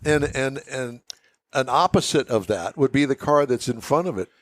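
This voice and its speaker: A man's voice: mid-range voice, lively intonation, moderate pace, dry recording. A man hosting a car show in casual chat, folksy and curious, readily sounding amazed.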